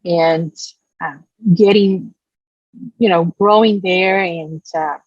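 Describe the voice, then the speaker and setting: A middle-aged woman from Puerto Rico with a Puerto Rican accent, a native Spanish speaker, talking haltingly as an interview guest. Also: on the low side, no echo. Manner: halting, searching for words. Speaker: interview guest, business association founder. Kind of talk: conversational personal storytelling. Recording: slightly dull, lo-fi sound.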